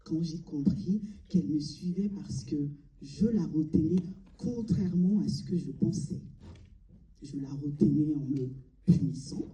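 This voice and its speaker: Slow, dark-voiced woman, echoey hall. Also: deep voice. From Mali. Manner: solemn, deliberate, pausing between short phrases. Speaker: amateur stage performer. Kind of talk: dramatic theatrical monologue. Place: large cinema auditorium before an audience. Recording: echoey hall, distant mic.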